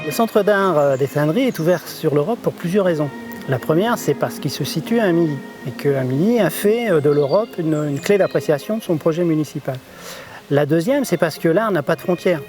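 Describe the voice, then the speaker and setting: A man from an art centre explaining its work in a measured way, with occasional hesitations. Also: fairly high pitch, lively intonation. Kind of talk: explanatory interview. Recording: music bed under voice.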